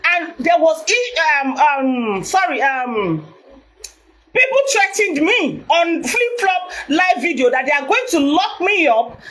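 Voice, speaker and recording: Nigerian woman live-streaming: naturally loud, high voice, presses her points hard. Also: rough recording. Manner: emphatic, repeats herself. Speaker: vlogger. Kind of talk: Facebook live video.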